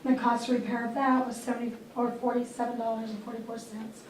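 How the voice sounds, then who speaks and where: A woman with a squeaky voice and a fairly high, flat, mellow delivery reads through an airport manager's report at a board meeting. She is picked up by a distant mic in an echoey meeting room, with faint background noise. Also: quick pace. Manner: matter-of-fact, clears throat often.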